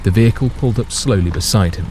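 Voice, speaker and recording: Low, mellow male story narrator. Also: fast, a little hiss or hum. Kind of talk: scripted dramatic story narration.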